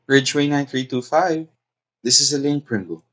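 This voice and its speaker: A man's voice: medium pitch, normal speed, fairly bright tone, slight background noise, close to an everyday voice. A man playing the anxious, well-meaning daughter of a society hostess in a stage comedy, earnest and pleading. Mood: polite, eager. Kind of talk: theatrical stage comedy acting.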